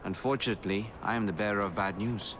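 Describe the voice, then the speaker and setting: Man voicing a polite formal assassin guy, lowish voice, faint noise. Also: fast, fairly bright tone, natural voice. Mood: earnest. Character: courteous, earnest man assassin mentor.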